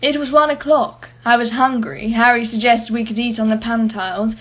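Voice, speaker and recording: A woman's voice: a little high, fairly even intonation, moderate pace, dull audio, natural voice. An actress playing an imperious, wealthy businesswoman in a radio play, guarded and impatient, speaking in a clipped, formal way. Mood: guarded, recounting.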